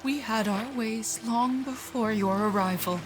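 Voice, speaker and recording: An actress playing a dignified, reproachful leader of a peaceful island people in an audio drama, speaking gravely. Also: female voice, a little high, a little slow, noisy background, close to an everyday voice. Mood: defiant, grave. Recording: loud music under the voice.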